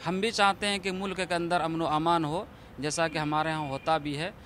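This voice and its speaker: A man's voice: on the high side, steady tone, normal speed, fairly bright tone, a little hiss or hum. A man, an imam, giving interview answers in formal, diplomatic, balanced phrasing.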